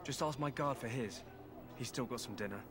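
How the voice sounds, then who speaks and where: A man's voice: medium pitch, a little fast, fairly bright tone, lightly acted. An actor playing a starving, sly prisoner in a fantasy game, wry and wheedling as he bargains. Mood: sly bargaining.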